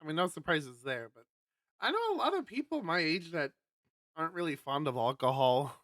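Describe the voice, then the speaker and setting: A man's voice: on the high side, a little fast, no room sound, clean studio sound. Chatty man streamer reading a game. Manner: animated, chatty asides. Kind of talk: reading a visual novel aloud with asides.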